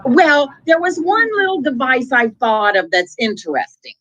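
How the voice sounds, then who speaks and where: A woman's voice: high-pitched, big pitch swings, normal speed, noisy background. A woman playing a breezy, wisecracking neighbour in an old-time radio comedy, with playful theatrical delivery. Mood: sly, pleased.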